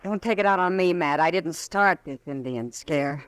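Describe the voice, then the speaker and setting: A woman's voice: sits low, moderate pace, close to an everyday voice. An actress playing a spirited, tart-tongued frontier woman in an old-time radio western, pointed and wry. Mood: wry, piqued.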